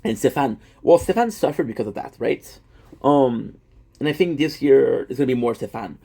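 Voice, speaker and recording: A man's voice: mid-range voice, animated, normal speed, vocal fry, mellow, husky. A man in his thirties, a gaming content creator, talking in a rambling, self-deprecating monologue full of fillers and restarts. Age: 30s.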